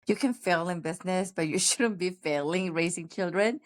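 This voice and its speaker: A middle-aged woman, raised in Paraguay with Spanish as her first language, talking earnestly and reflectively as a podcast guest. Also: on the low side. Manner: reflective, earnest, pauses with fillers. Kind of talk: casual conversational interview.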